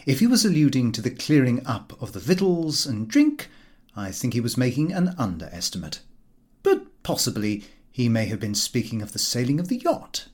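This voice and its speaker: Casting: male audiobook narrator, very animated intonation, dry comic storytelling, mid-range pitch. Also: normal speed. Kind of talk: scripted narration of a comic novel.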